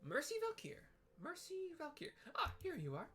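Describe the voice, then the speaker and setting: A man voicing a small, cheery female game receptionist who speaks politely and primly in a high, sing-song falsetto. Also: huge pitch swings, moderate pace, sharp tone, soft background music, heavily acted. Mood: apologetic, flustered. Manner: formal, courteous phrasing. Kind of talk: acted game dialogue read aloud.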